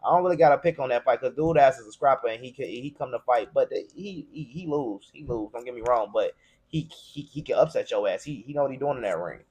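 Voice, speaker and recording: A man's voice: medium pitch, lively intonation, fast talker, darkish tone, breathy edge. An American man giving an opinionated, casual boxing commentary, arguing his points in profane slang.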